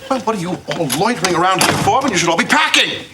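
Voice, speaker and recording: An actor playing a pompous, excitable man in a TV sitcom, speaking in a theatrical, declamatory way. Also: high-pitched, quick pace, background noise. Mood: brisk excitement.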